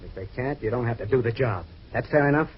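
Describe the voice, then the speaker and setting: Man acting anxious, fast-talking American client. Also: mid-range voice, lively intonation, natural voice. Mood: nervous, hushed. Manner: hesitant, pleading, repeats himself nervously. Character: anxious, haunted retired male client, softening ex-fighter. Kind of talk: 1940s radio drama acting. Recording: muffled, hissy old radio recording.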